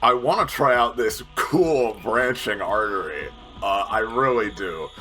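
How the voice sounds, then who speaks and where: A man's voice: on the low side, measured pace, pushed and bright. A man playing a tabletop game, chatting casually through his moves at the table. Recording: music bed behind the voice.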